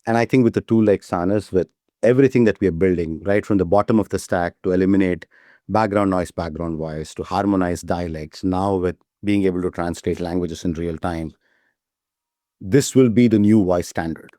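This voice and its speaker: A man with a low, mellow voice talks eagerly as a company founder being interviewed. He often ends his points with a quick "right?". The sound is close and dry. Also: no room sound. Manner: enthusiastic, repeats "right?" as a tag. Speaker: startup CEO, guest. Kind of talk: casual interview talk.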